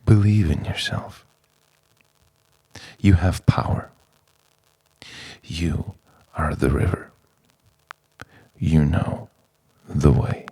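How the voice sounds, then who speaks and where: A man delivers introspective spoken-word poetry as a monologue, in a dramatic, deliberate way with pauses between phrases. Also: a bass voice, vocal fry, dark tone, slightly rough, poor audio. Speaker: poet.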